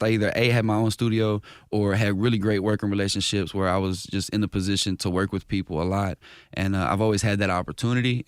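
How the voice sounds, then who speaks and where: Fast-talking rapper, slangy. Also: male voice, no room sound, studio quality. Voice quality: higher-range voice. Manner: animated, slangy, repeats himself. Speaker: rapper guest. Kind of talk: casual interview chat.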